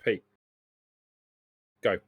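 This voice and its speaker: A man's voice: extremely slow, narrow, tinny audio. A man talking casually as a player in a tabletop role-playing game.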